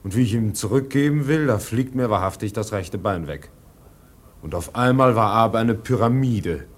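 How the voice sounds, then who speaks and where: A man's voice: on the low side, lively intonation, normal speed. An actor playing a proud, plain-spoken ship's mate in a German radio drama, blunt and earnest in his delivery. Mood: bewildered, confiding.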